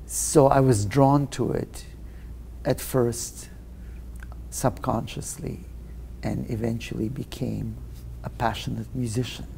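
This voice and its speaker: Male guest, slow and measured, gravelly and dark, hesitant. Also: medium pitch, steady tone, slight background noise, rough recording. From Russia. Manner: slow, thoughtful, many hesitations. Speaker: guest pianist. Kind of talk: interview answers.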